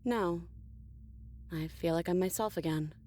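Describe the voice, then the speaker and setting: A woman voicing a poised, knowing princess in a video game, measured and faintly menacing. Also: lowish voice, mellow, close to an everyday voice. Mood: tender, calm. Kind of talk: visual novel game voice acting.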